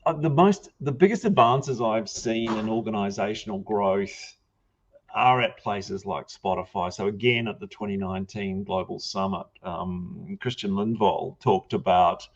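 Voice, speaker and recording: A man's voice: lowish voice, measured pace, lo-fi sound. A man with a New Zealand accent, speaking thoughtfully as a panelist and searching for words. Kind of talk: panel discussion.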